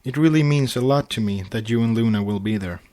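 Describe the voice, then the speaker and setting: A man's voice: mid-range voice, fast talker, dark tone, no echo, lightly acted. A man voicing a polite, wry young female pony princess while reading a story. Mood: grateful.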